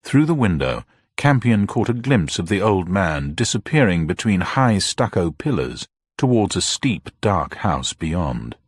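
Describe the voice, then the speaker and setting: A man's voice: low voice, big pitch swings, measured pace, few pauses, husky, no echo, studio quality. A man narrating a scripted mystery story in a dramatic style.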